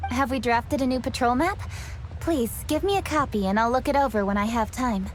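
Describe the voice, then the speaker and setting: An actress voicing a brisk, hardworking young official in a game, giving crisp, businesslike orders. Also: female voice, fairly high pitch, a little hiss or hum, lightly acted. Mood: commanding. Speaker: game character. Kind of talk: game cutscene voice acting.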